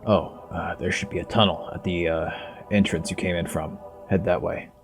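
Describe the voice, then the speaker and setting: A man's voice: deep voice, steady tone, moderate pace. A man acting a dutiful, respectful soldier in a video game cutscene. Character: dutiful, respectful soldier advising his commander. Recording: loud music over the voice.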